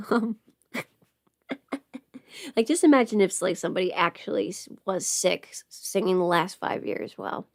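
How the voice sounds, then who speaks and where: A woman's voice: mid-range voice, animated, a little slow, gravelly, long pauses, no echo, studio quality. A woman who is sick with a cold, chatting casually on a livestream in a self-deprecating, playfully sarcastic way. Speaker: audio script performer hosting a livestream. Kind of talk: casual rambling livestream chat.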